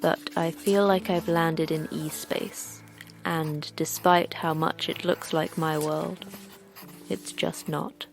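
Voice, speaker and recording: A woman's voice: low-pitched, steady tone, slow pace, gravelly, faint music behind, heavily acted. A woman playing a lonely, wistful girl confiding in her diary in an audio drama monologue.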